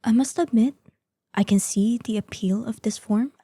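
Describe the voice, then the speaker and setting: A woman's voice: on the high side, moderate pace, mellow, husky, no echo. A woman voicing a proud, vain ancient female dragon in human form for a roleplay audio drama, speaking in an imperious, formal, theatrical manner. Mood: preening, vain.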